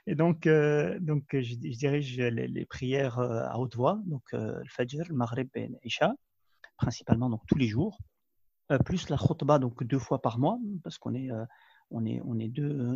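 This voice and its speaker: A man's voice: mid-range voice, vocal fry, dark tone, breathy edge, no echo, highs cut off. A man in his thirties from Belgium, of Moroccan family, speaking as an imam in an interview, hesitant with many fillers and restarts. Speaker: imam, guest. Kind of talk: conversational interview.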